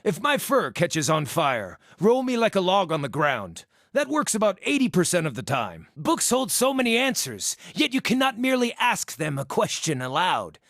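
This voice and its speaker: A man voicing an irreverent, excitable, furry shapeshifting druid in a video game, with a wry, cheeky, theatrical delivery. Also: high-pitched, no echo, clean studio sound, exaggerated voice. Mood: wry, musing. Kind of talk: video game character dialogue and battle barks.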